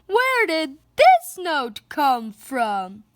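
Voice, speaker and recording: Brash storybook mother, played by a woman, hollering high with big swoops. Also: huge pitch swings, very slow, no echo, studio quality, full character voice. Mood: demanding. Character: loud, brash, yelling mother of a noisy family. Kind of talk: children's picture book read-aloud.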